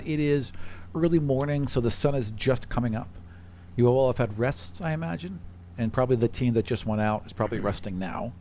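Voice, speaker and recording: A man's voice: mid-range voice, darkish tone. A man with a mid-range voice narrates a tabletop roleplaying game as the game master. His delivery is informal and jokey, like talk among friends. There is faint noise in the recording.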